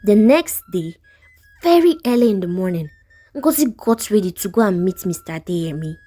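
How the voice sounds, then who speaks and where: A woman's voice: mid-range voice, sing-song, moderate pace, dark tone, husky. A woman with a Nigerian accent narrating a scripted folktale as a storyteller.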